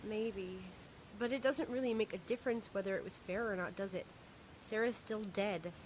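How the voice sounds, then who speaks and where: A young woman playing a quiet, wry psychiatric patient. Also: mid-range voice, moderate pace, slight background noise, lightly acted, rough recording. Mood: hesitant.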